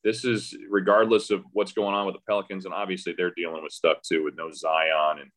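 A man's voice: low-pitched, level tone, brisk, fairly bright tone. A man, a sports announcer appearing as a guest, talks casually about the game, thinking aloud with frequent restarts. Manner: hesitant, conversational, thinking aloud with false starts. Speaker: radio play-by-play announcer as podcast guest. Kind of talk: casual sports interview talk.

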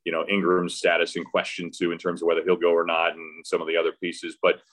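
Low deep guy voice, casual sports chat, thinks out loud and restarts a lot. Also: monotone, quick pace. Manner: hesitant, conversational, thinking aloud with false starts. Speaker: radio play-by-play announcer as podcast guest. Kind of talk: casual sports interview talk.

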